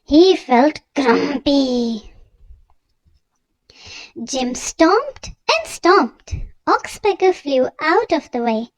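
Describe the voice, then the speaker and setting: A high, youthful voice narrating a children's read-aloud story in an expressive, deliberate manner. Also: no echo.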